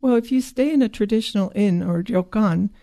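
Woman from Japan, guidebook author and tour guide, warm dark tone, calm and informative, dry studio sound. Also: medium pitch, fairly quick, dry recording. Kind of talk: radio interview.

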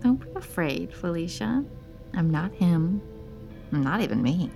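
A phantom mother in an audio drama. She is calm, tender and wry, with a lowish, gravelly voice full of fry over faint music. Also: a little slow, exaggerated voice. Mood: calm, knowing. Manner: soothing, wry, matter-of-fact. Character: imagined apparition of a mother, calm, knowing, tender.

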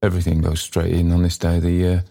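A man's voice: low voice, monotone, quick pace, creaky, warm tone. A man voicing a fat, breathless porter of a grand house in a storybook, speaking in a thick whispery voice. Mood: gruff, unhurried.

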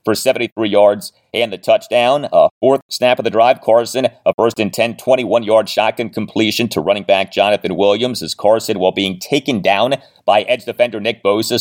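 A man hosting a sports podcast, giving commentary in an emphatic, deliberate way with figures spelled out in full. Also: on the low side, fairly even intonation. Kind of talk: sports commentary, presenting and analysing interview clips.

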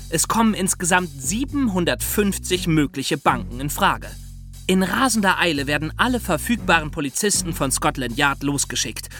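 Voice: mid-range voice, a bit bright. A podcast co-host delivering scripted, dramatic storytelling narration.

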